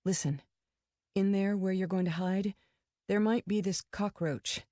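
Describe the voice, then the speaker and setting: Woman reading a calm protective mother, gentle and mellow; dry mic. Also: mid-range voice, no room sound, natural voice. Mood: reassuring. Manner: soft, reassuring, quick.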